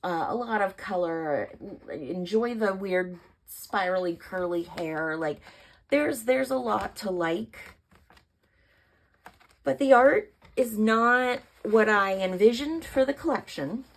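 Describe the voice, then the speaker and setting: A woman with a hoarse voice from allergies, chatting casually to camera about her art process and thinking aloud with many fillers. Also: mid-range voice, lively intonation, slow. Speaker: artist vlogger.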